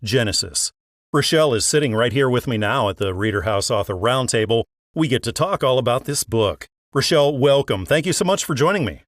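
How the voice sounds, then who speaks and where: A man's voice: mid-range voice, no echo, studio quality. A man hosting an interview show, moving between scripted introductions and questions to guests. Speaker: podcast host and interviewer.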